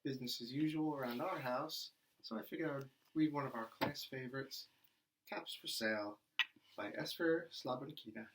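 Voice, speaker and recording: A man reading a children's storybook aloud like a primary school teacher, animated and with dramatic emphasis. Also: medium pitch, moderate pace, background noise.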